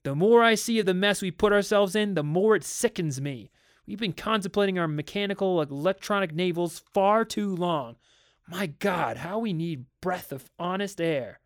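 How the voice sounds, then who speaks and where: Man acting a fed-up, forceful father. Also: high voice, moderate pace, no echo, clean studio sound, pitched above the performer's own voice, lightly acted. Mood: exasperated, firm. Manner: forceful, insistent. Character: exasperated stern father, fed up, barking orders. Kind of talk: dramatic storybook narration.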